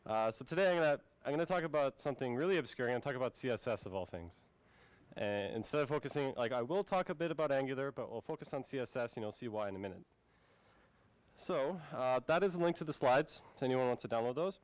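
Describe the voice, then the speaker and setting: Smooth-voiced Finnish-born man giving a tech talk. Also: mid-range voice, quick pace, no echo. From Finland. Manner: friendly, relaxed presenter addressing the audience. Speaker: conference speaker.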